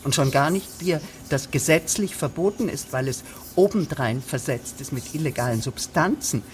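A woman's voice: deep voice, animated, moderate pace. A fussy, pompous female village official in a radio play. She speaks in winding, officious bureaucratic phrases, over faint background noise. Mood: indignant. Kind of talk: radio drama acting.

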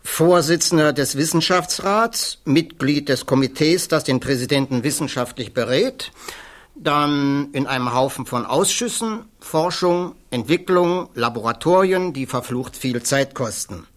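An actor playing an irreverent, blunt physics professor giving testimony in a radio play, wry and outspoken. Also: male voice, on the high side, expressive, measured pace. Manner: wry, outspoken, swears casually.

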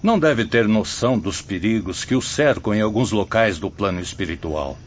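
An actor playing a worried, formal colony official reporting in a radio drama. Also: male voice, lowish voice, expressive, normal speed, lightly acted. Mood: concerned. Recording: dull recording with faint ambient noise.

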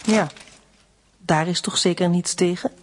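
An actress playing a guarded, stubborn cleaner under interrogation in a radio drama, terse, defensive and reluctant. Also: female voice, on the low side, a little slow, slight background noise, close to an everyday voice. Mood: wary.

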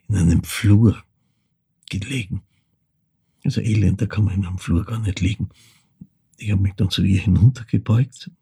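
An elderly, brooding man with a deep, very husky voice. He recalls his late mother in short, hesitant, reflective phrases. It is a quiet radio play monologue with a worn, breathy grain. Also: heavily acted. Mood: pained, subdued. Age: older. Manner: halting, hushed, reflective storytelling in short phrases.

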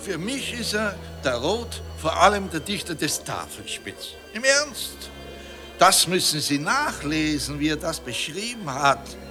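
Radio drama, effusive old-empire monarchist gent, declamatory, very varied intonation, music behind. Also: male voice, on the high side, very animated, bright, forceful tone, full character voice. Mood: rapturous, solemn. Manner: pompous, halting, declamatory, effusive. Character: pompous, nostalgic Austrian monarchist gentleman, effusive admirer. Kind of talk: German radio play acting.

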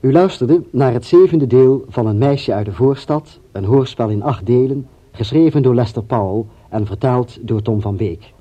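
A man's voice: medium pitch, normal speed. Male radio announcer, slightly dull sound. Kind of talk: scripted closing credits announcement. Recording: dull, band-limited radio recording.

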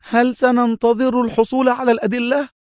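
Casting: man to play fiery young female agent in an audio thriller, high-pitched, sharp, urgent, dry sound. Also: little pitch movement, a little fast, no room sound. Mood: angry, exasperated. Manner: agitated, sharp, insistent.

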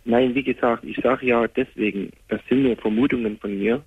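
A man in his twenties calling a radio phone-in, subdued and grieving, speaking haltingly with many restarts. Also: mid-range voice, fairly even intonation, husky. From Germany. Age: 20s. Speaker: caller. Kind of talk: call-in radio conversation. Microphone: telephone. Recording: tinny bad phone line.

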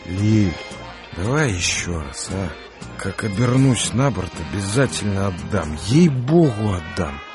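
Rough emotional sailor, radio drama, low and slow, halting plain talk, music behind. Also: male voice, very animated, heavily acted. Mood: pleading. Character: rough-hewn, emotional young sailor, earnest and pleading. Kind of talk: radio play acting.

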